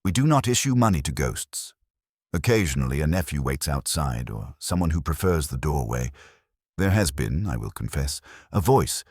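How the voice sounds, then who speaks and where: A man's voice: rumbling low, breathy edge, no echo, studio quality, heavily acted. A man voicing a cautious, discreet male bank manager who speaks formally and pompously, in an audiobook story.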